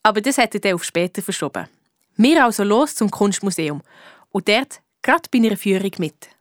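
A woman's voice: medium pitch, animated, brisk, no room sound, close to an everyday voice. A woman plays a young girl detective in a children's radio play. She has a Swiss accent and sounds curious and cheeky, recorded close and dry. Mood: chatty, eager.

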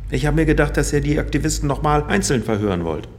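An actor playing a matter-of-fact police colleague in a radio crime drama, reporting in a laconic way. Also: male voice, fairly high pitch, lively intonation, fast talker.

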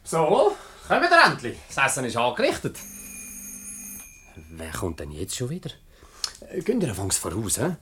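Flustered Swiss German husband, stammering and hesitant, pitch leaping about, classic radio farce. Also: male voice, huge pitch swings, moderate pace, pauses often, bright, forceful tone, slight background noise. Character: flustered, stammering husband, anxious office employee. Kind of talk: comedic radio play acting.

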